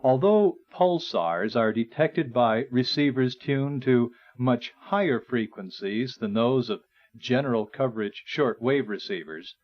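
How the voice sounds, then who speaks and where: Slow, expressive male instructional narrator. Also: mid-range voice. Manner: measured, explanatory. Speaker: narrator and presenter. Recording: dull, lo-fi 1980s recording.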